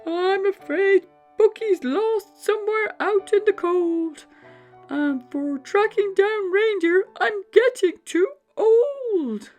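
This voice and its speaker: A woman voicing an elderly Santa in a children's storybook, fretting and exclaiming theatrically with drawn-out words. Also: very high voice, lively intonation, a little mellow, faint music behind, pitched above the performer's own voice, exaggerated voice. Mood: worried, pleading. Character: upset, worried old Santa, elderly man fretting over reindeer.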